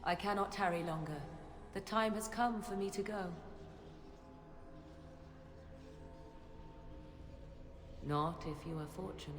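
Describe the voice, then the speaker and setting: A woman's voice: medium pitch, a little fast, roomy sound, in a large hall, a little hiss or hum, lightly acted. An actress playing a proud, guarded witch in a fantasy video game, with formal archaic phrasing and a theatrical, cutting delivery. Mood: resigned, guarded. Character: proud, guarded witch, sharp-tongued and ominous.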